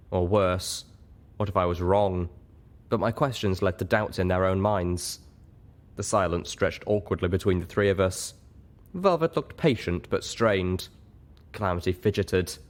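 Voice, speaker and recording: A man's voice: sits low, normal speed. A man narrating a first-person story expressively, as an audiobook reader.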